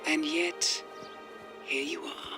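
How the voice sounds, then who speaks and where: An actor playing a calm, scheming mastermind with a measured, insinuating manner. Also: male voice, big-room sound, music in the background, close to an everyday voice.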